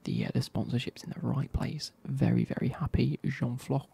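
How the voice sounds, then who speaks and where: A man, reviewing football kits in a soft, casual whisper with wry asides. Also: medium pitch, huge pitch swings, moderate pace, creaky, breathy edge.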